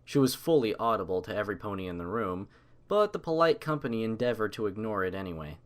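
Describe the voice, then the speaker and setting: A man's voice: on the low side, sing-song, fast. A man reading scripted story narration.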